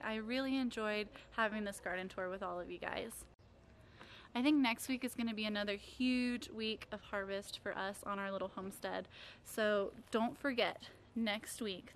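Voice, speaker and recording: A woman in her thirties giving a casual, chatty garden tour, talking affectionately as she goes. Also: mid-range voice. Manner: chatty, affectionate talk about plants. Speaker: home gardening vlogger. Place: outdoors in a home backyard garden. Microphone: handheld camera. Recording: clear background noise outdoors.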